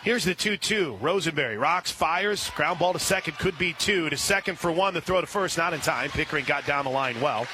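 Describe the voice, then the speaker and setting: Male softball play-by-play announcer, outdoor noise. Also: fairly high pitch, fairly quick, hardly any pauses. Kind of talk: live softball play-by-play commentary. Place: outdoor softball stadium broadcast position. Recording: clear outdoor ballpark ambient noise.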